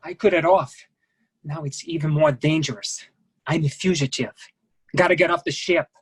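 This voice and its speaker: A man's voice: fairly high pitch, steady tone, normal speed, husky. A man playing a desperate foreign fugitive masseur in a staged play reading, pleading in clipped broken English. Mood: urgent.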